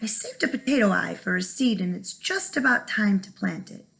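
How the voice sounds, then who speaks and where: A lazy, boastful village man from a storybook, voiced by a woman reader. He brags smugly in a creaky voice with very varied intonation. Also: mid-range voice, very animated, moderate pace, a bit bright. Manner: proud, bragging storybook delivery.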